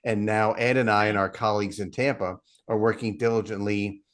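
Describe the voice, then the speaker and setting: A man's voice: lowish voice, steady tone, brisk, fairly bright tone. A middle-aged man, a partnerships head, explaining steadily and with self-deprecating asides as a guest. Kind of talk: casual interview chat.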